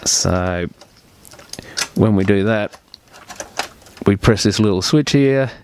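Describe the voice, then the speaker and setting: A man's voice: on the low side, takes it slowly, darkish tone, a little hiss or hum. A man presenting an unscripted hands-on repair tutorial as a technician, explaining each step in a plain-spoken, practical way.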